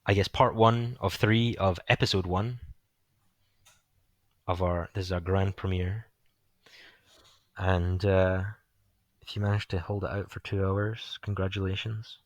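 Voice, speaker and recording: A young man hosting a casual film podcast, rambling with lots of fillers, his voice going hoarse. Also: low voice, fairly even intonation, a little slow, long pauses, no room sound, dull audio. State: voice getting hoarse. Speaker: podcast host.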